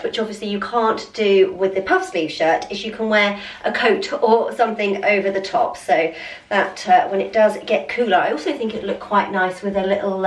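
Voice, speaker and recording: A young woman vlogging at home, chatting casually as she tries on outfits and unboxes makeup, gushing and repeating words for emphasis, a little stuffy from a cold. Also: mid-range voice. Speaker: lifestyle vlogger. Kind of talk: casual try-on and unboxing chat. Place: room at home. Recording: echoey room, voice from a distance.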